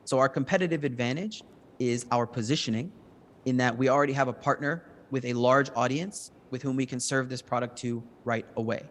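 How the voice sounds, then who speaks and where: A man's voice: mid-range voice, a little fast, fairly bright tone. A man answering judges' questions as a start-up presenter, speaking in an explanatory, assured manner. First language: English. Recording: long hall reverb.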